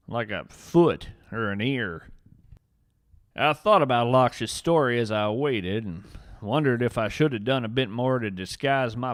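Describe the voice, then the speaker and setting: A man's voice: medium pitch, dry recording, studio quality, natural voice. A man playing an earnest, folksy naturalist who narrates scripted fiction podcast tales in a dramatic, wryly whimsical, literary way. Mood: grave, wry, then wry, reflective.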